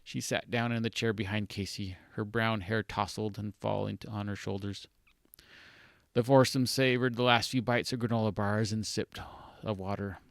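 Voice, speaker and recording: A man's voice: lowish voice, fairly even intonation, moderate pace, creaky, no echo. A man reading their own story aloud informally, occasionally stumbling and correcting mid-sentence.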